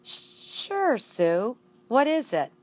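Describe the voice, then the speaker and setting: Woman as a polite, unhurried male principal. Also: fairly high pitch, very animated, darkish tone, a little hiss or hum, pitched above the performer's own voice, full character voice. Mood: obliging. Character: polite, apologetic adult male school principal.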